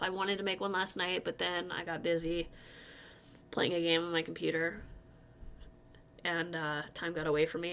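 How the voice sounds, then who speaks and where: A woman's voice: medium pitch, muffled recording. A married woman from North Dakota, still sniffly from illness, rambling chattily to her viewers in a casual crochet vlog. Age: adult, married. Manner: rambling, chatty, with frequent restarts and laughs. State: stuffy and recovering from illness. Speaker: crochet vlogger.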